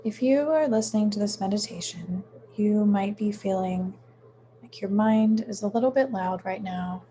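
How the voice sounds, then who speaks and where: A woman leading a guided meditation in a soothing, unhurried manner, with frequent pauses between short phrases. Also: mid-range voice, dark tone, a little hiss or hum. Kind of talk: guided meditation, gentle instruction.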